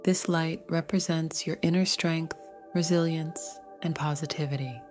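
A woman narrating a scripted guided meditation in a gentle, soothing, measured way. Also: deep voice, fairly even intonation, faint music behind.

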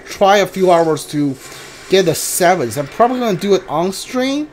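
Male streamer, high voice, casual and hesitant delivery, circles back over his words while chatting to viewers. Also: lively intonation, slight background noise. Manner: hesitant, halting, repeats himself. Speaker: game streamer. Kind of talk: casual live gameplay stream chat.

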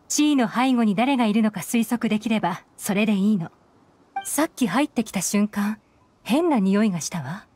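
An actress voicing a cool, shrewd young woman who runs an intelligence network in a video game, composed, deliberate and knowing. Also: medium pitch, a little mellow, natural voice. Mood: cool, analytical. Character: cool, shrewd female intelligence operative and boss. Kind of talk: Japanese video game voice acting. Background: background game music.

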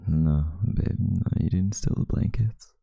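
A young man doing an intimate ASMR boyfriend roleplay as a sleepy, affectionate, teasing partner in bed, murmuring softly and drowsily close to the microphone. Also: low-pitched, slow pace, no echo. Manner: soft, drowsy murmuring, partly breathy and whispered. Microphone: close microphone, dry sound.

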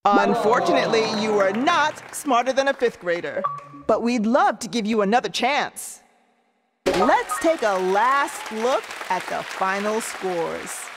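A woman voicing a cheerful animated quiz show host, presenting in an encouraging, emphatic scripted style. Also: fairly high pitch, unhurried, music in the background. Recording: reverberant hall with clear crowd noise.

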